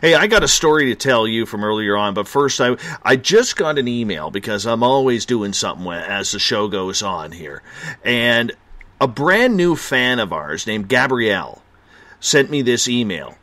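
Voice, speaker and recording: A man's voice: medium pitch, big pitch swings, moderate pace, pushed and bright, no echo. A man with a Canadian accent hosting a late-night radio show, laid-back and joking.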